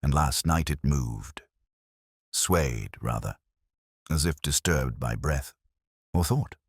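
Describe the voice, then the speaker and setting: A man's voice: a bass voice, lively intonation, slow, husky, no room sound, clean studio sound, exaggerated voice. A man voicing a nervous, bewildered costumier's clerk in an audiobook mystery, formal, hesitant and deferential. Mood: anxious, deferential.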